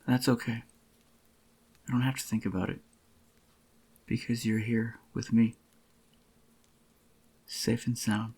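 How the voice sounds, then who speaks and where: A man playing a possessive, devoted boyfriend in a soft-spoken, intimate ASMR roleplay, tender and obsessive, with a groggy, gravelly voice. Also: mid-range voice. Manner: tender, slow reassuring endearments to a lover.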